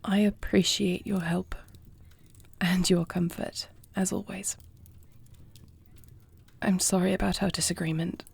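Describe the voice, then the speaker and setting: A woman playing a compassionate, self-doubting young dragon queen in a fantasy audio roleplay, speaking formally, earnestly and with soft intimacy. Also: fairly low pitch, flat delivery, unhurried, gravelly, long pauses, breathy edge, exaggerated voice. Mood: tender, apologetic.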